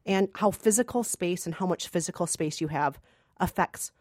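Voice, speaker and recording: A woman's voice: fairly low pitch, moderate pace, darkish tone, dry recording, clean studio sound. A woman co-hosting a podcast, giving an emphatic, enthusiastic film review in a conversational style. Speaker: podcast co-host and film critic.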